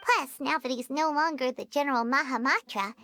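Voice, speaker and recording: A woman voicing a small, childlike fairy companion in a video game, chatty and excitable, talking in exclamations. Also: sits high, a little fast, soft background music. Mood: curious. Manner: speaks of herself in third person, exclamatory.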